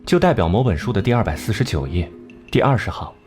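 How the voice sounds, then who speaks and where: An actor playing a cool, sharp-witted detective in an animated crime drama, composed and articulate as he explains his reasoning. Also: fairly low pitch, fast talker, over music. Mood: analytical, calm.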